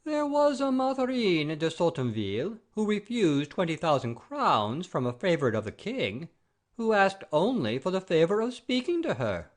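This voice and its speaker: A man's voice: sits high, huge pitch swings, moderate pace. An actor playing a proud, blustering baron and father-in-law in a theatrical comedy audio play, pompous and declamatory. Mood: boastful. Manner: pompous, oath-swearing, declamatory.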